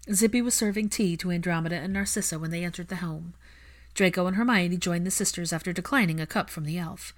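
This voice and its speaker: A woman reading scripted fiction as an audiobook narrator. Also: lowish voice.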